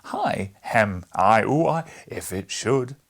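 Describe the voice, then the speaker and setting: A man voicing an excitable, eccentric puppet maker from a storybook, exclamatory and theatrical. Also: fairly low pitch, takes it slowly, full character voice. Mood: excited.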